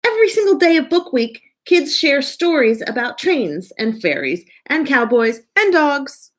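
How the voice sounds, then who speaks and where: Lady librarian reading a kids book out loud, slow pace, voice goes up and down a lot. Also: high voice. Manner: animated, drawn-out, deliberate delivery. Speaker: children's librarian reading aloud. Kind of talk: expressive picture book read-aloud for children.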